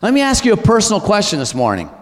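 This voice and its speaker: A man preaches in a church sanctuary with a high, lively voice that swoops up and down as he exhorts the congregation. He keeps posing rhetorical questions. A long hall reverb trails behind him. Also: racing. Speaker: pastor. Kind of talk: sermon.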